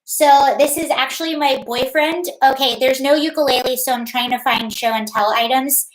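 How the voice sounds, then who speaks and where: High-pitched chatty woman livestreaming. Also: fairly even intonation, moderate pace, reverberant, poor audio. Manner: chatty, rambling, greets viewers by name. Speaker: livestream host. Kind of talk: casual livestream chat.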